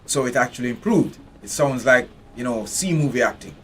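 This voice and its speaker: A man's voice: mid-range voice, lively intonation, brisk, fairly bright tone, slight background noise. A man from Jamaica, giving blunt, profane live commentary while streaming a game.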